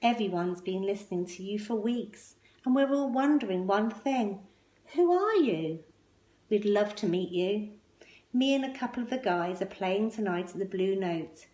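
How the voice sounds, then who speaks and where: A woman's voice: medium pitch, lively intonation, moderate pace, mellow, lightly acted. A woman voicing a friendly, admiring jazz musician's invitation letter in a storybook, in an inviting manner. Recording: roomy, echoing indoor sound.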